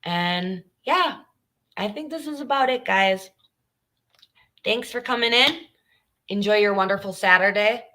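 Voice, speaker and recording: A woman's voice: mid-range voice, measured pace, pauses often, sharp tone. A woman hosting a true crime livestream podcast, talking casually and earnestly with lots of ums. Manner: earnest, chatty, with many fillers.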